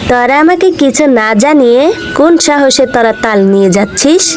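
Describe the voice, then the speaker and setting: Woman acting a bossy, high-voiced village aunt. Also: lively intonation, brisk, noisy background. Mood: scolding, demanding. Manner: scolding, demanding. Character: bossy, greedy village headman's wife, haughty aunt. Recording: music behind the voice.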